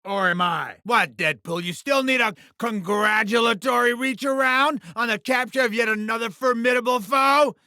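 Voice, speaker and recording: A man voicing a scheming, egomaniacal alien TV producer villain in a video game, with a bombastic manner and false flattery that slides into menace. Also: very high voice, normal speed, hardly any pauses, no echo, studio quality, full character voice. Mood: exasperated, fussy. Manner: bombastic, fake-friendly flattery sliding into menace. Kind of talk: theatrical video game voice acting.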